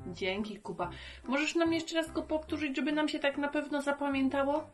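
Woman hosting a children's lesson, soft music. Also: sits high, normal speed. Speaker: children's show host with a puppet. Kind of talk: conversational presenting to children. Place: book-lined room indoors.

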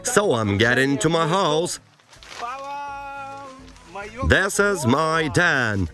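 A man's voice: on the high side, very animated, drawn-out words, loud music behind the voice. Chatty young man vlogging in a forest. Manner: chatty, showing off his build. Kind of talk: casual outdoor DIY vlog. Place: homemade plastic-film tent in a forest.

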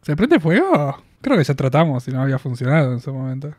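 A man in his twenties with an Argentine Spanish accent, talking through a casual spoken review in a small room on a boom mic, chatty and quick to laugh, with drawn-out fillers. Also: big pitch swings, a little mellow, dry recording. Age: 20s. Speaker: reaction video host. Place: small room in front of packed collectible shelves. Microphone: studio mic on boom arm, headphones on.

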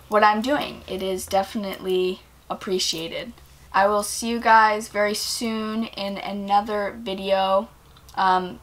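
A woman of twenty answering viewer questions in a casual vlog, relaxed and rambling with many ums and likes, filmed in a small indoor room. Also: medium pitch. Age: 20s. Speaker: vlogger.

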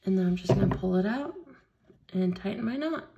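A woman leading a hands-on beading tutorial at a craft table, explaining each step slowly and patiently. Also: mid-range voice, lively intonation, gravelly, highs cut off, noisy background. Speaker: craft instructor.

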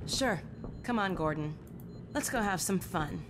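A woman's voice: fairly low pitch, animated, a little slow, background noise. A teasing, spirited young woman. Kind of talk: video game voice acting. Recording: game audio under commentary chatter.

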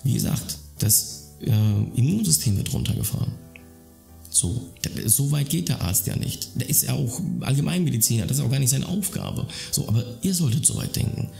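A man in his thirties with a deeply gravelly, fry-heavy voice talks straight to the camera from a dim room at home. He speaks earnestly, circling back with restarts and fillers as he explains. Faint music plays quietly underneath. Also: lively intonation, moderate pace. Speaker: YouTuber talking about mental health. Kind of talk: casual explanatory monologue to camera.

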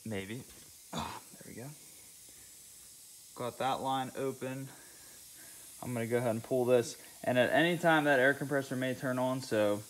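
Low-voiced young man narrating garage work. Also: a little hiss or hum, poor audio. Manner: relaxed, thinking aloud, talking through each step. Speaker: car DIY channel host. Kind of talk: casual how-to narration while working. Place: home garage, concrete floor.

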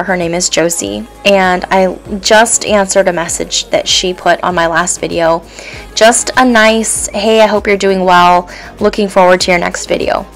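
A woman's voice: medium pitch, vocal fry. A woman in her thirties, a stay-at-home mom, chatting casually and encouragingly in a voice-over with plenty of ums.

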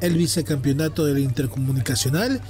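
A man's voice: medium pitch, a little fast, darkish tone. A man reading scripted sports news narration as a presenter. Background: music.

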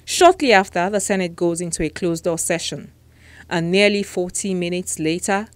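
A woman with a Nigerian accent presents a scripted news report. Her voice sits a little lower than average. She delivers it as a television host narrating a story. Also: moderate pace, dry recording.

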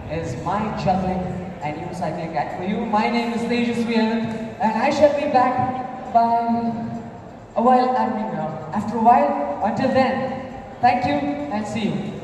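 Male stage performer, jokey crowd-work patter, higher voice, distant echoey mall-hall recording, busy background. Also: noisy background. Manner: showman hyping a crowd, jokey. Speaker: juggling performer. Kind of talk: live stage show patter.

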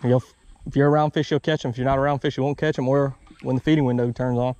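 A man chats casually as he films himself fishing from a boat, with an informal, animated way of talking. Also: medium pitch, quick pace, muffled recording. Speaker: fishing vlogger. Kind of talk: casual chat while fishing. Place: outdoors on a small boat on a river. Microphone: body-worn action camera. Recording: outdoor noise, action camera on boat.

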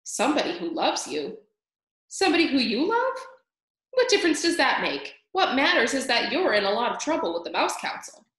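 A woman's voice: high-pitched, very animated, moderate pace, a bit bright, reverberant, voice pushed up. A woman voicing a bossy male mouse, an exasperated older brother who scolds bluntly and insistently in a storybook. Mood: exasperated, scolding.

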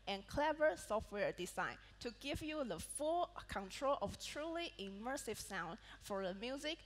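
A woman's voice: fairly high pitch, big pitch swings, unhurried. Malaysian woman presenting on a reverberant stage. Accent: Malaysian English. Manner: reads prepared product points with small slips and restarts. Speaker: product manager presenting a laptop launch. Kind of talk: product keynote presentation. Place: large event hall on a stage. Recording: reverberant event hall sound.

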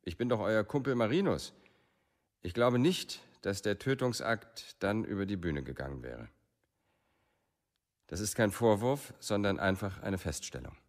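A man's voice: fairly low pitch, lively intonation, a little slow. An actor playing a sober male official in a documentary radio play, speaking formally and analytically. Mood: detached.

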